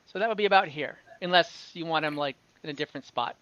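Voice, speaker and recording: A man's voice: a little high, quick pace, fairly bright tone, lo-fi sound. A man narrating an online tabletop game as game master, hesitant and thinking aloud as he goes.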